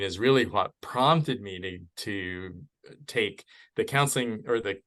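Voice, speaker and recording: A middle-aged man with a fairly low voice talks as a podcast guest. He speaks in a measured, thoughtful way and opens up about personal matters. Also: fairly bright tone. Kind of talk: casual interview chat.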